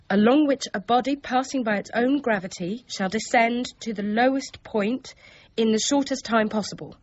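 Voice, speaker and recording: Actress as husky-voiced, forthright young niece. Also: female voice, on the high side, muffled recording, lightly acted. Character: brisk, teasing, caring young niece of a scholar. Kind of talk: period radio drama acting.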